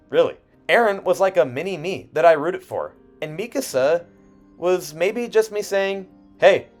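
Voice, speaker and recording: A man narrating a personal video essay in an expressive way, with self-deprecating asides and dramatic pauses. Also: on the high side. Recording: music under the voice. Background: background music.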